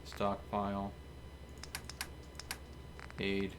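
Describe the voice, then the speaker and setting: A man live streaming a video game, chatting casually to viewers in an expressive, playfully mock-dramatic way. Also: on the low side, very slow, background noise. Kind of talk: casual gaming commentary to viewers. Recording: music behind the voice. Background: music.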